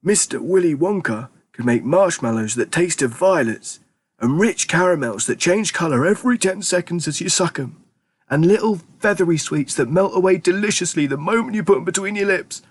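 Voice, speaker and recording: A man voicing an eager, excitable grandfather in his nineties, exclaiming with theatrical emphasis. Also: fairly high pitch, moderate pace, slightly rough, voice pushed up. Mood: savouring. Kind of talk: audiobook character reading.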